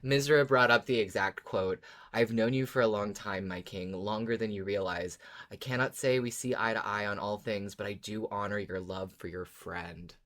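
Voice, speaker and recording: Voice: low voice, fairly even intonation, moderate pace, a bit bright. A podcast co-host talking casually and enthusiastically, agreeing emphatically and repeating words. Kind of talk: casual fan discussion.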